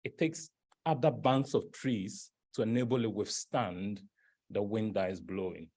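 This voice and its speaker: A man's voice: lowish voice, measured pace, a little mellow. A man from Ghana chairing a conference session, speaking emphatically, repeating words as he builds up to a proverb. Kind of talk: closing a plenary session. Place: conference hall plenary.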